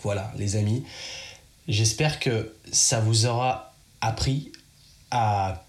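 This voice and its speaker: A man's voice: on the low side, slow pace, reverberant. A French man giving casual advice in a chatty, direct way, speaking straight to the listener. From France. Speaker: podcast host.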